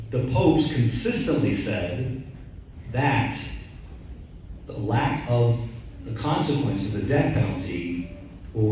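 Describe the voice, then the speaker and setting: Emphatic priest in an echoey hall. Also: male voice, mid-range voice, warm tone, distant mic, slight background noise. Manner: emphatic, rhetorical questions, many restarts. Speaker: priest and guest speaker. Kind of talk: answering audience questions at a talk. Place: large echoey church hall.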